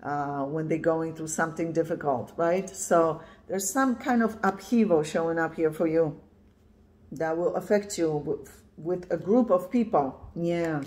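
A woman in her fifties, originally from Poland, talks through a tarot reading to a camera pointed at her cards. Her voice sits on the low side, and she speaks emphatically, often checking in with "right?". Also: measured pace, a little mellow. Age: 50s. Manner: emphatic, repeats "yeah" and "right?". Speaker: tarot reader. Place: indoors at a card table, camera on the cards.

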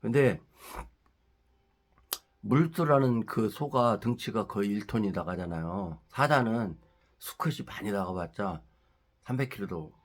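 A middle-aged man talks through a head cold, his voice stuffy and nasal. His pitch sits a little low, and he rambles in a casual monologue, firing off rhetorical questions as he heats up. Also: unhurried, long pauses. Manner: ranting, rising heated at points with rhetorical questions. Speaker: political commentary channel host.

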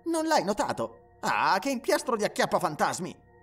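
A man voicing a smug, excited young ghost-hunting sleuth, eager and teasing in an audio story. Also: a little high, brisk, distant mic, soft background music, voice pushed up. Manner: eager, teasing, pleased with himself.

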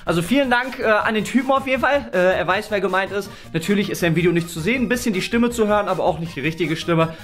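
A man's voice: sits high, fast talker, hardly any pauses, fairly bright tone. A young man chatting casually as a vlog co-host, colloquial and fond of question tags. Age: young adult. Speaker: video channel co-host. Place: furnished studio rooms, indoors. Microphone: camera at arm's length or a little further.

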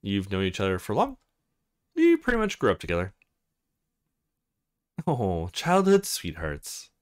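A man's voice: fairly low pitch, huge pitch swings, unhurried, pitched below the performer's own voice. Man voicing a breezy young studio woman. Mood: curious. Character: casual, chatty young woman working at a photo shoot.

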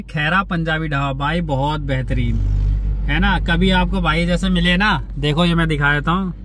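A man's voice: a little high, fairly bright tone. A man vlogging a road trip from his car, chatting casually with his viewers. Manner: chatty, keeps saying "bhai" and "hai na". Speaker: travel vlogger. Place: inside a moving car on the road. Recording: noisy car interior.

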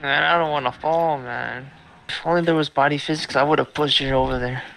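A man's voice: on the high side, fairly even intonation, a little fast, gravelly, a bit bright, a little hiss or hum, rough recording. A man bantering casually with friends while gaming, exclaiming and swearing over voice chat. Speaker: video game player.

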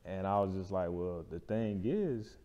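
A man's voice: sits low, animated, unhurried, lo-fi sound, crowd noise. A man, talking casually as an interview guest and explaining things in colloquial terms, often checking in with the listener. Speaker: barbershop owner, interview guest. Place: indoor lounge-style studio. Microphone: lapel mic. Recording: reverberant room.

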